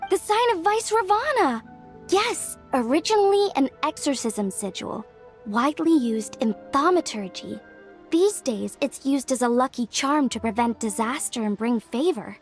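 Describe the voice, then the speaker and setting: A scholarly young woman in a video game. Her high voice is courteous and formal, with an eager streak. Soft music plays faintly underneath. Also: animated, normal speed. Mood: eager, explanatory.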